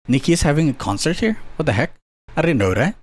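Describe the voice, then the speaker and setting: A man's voice: on the high side, very animated, fast talker. A young man from Indonesia, doing a casual commentary vlog with joking, self-mocking asides and frequent laughs. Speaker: YouTuber.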